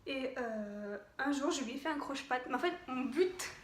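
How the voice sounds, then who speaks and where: High-voiced chatty young French woman. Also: animated, normal speed, roomy sound. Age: young adult. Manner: chatty, giggly, hesitant with many fillers. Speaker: vlogger. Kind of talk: casual to-camera chat. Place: home room with television on behind.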